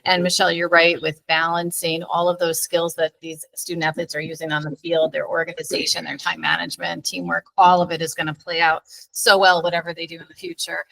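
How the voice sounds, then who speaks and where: New board member, female, lowish pitch, bright tone, friendly. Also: flat delivery, brisk, gravelly, hardly any pauses, poor audio. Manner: friendly, affirming. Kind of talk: casual meeting remarks.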